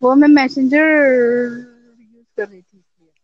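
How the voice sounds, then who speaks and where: A woman's voice: high voice, steady tone, rapid-fire, dry recording. A woman from Nepal, a guest in a casual online voice call chat, who often asks the other speaker to repeat himself. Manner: keeps asking to be repeated. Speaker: podcast guest. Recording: dull, dry online call audio.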